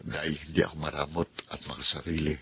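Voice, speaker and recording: A man voicing a generous, loyal best friend in a radio drama, speaking calmly and in a measured way. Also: very deep voice, gravelly, breathy edge, heavily acted.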